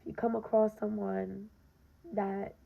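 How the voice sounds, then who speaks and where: A young woman with a raspy voice, hosting her podcast and speaking earnestly and directly to listeners in a casual personal monologue, often saying "um" and "you know". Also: mid-range voice, level tone, a little slow. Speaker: podcast host. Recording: dull lo-fi recording.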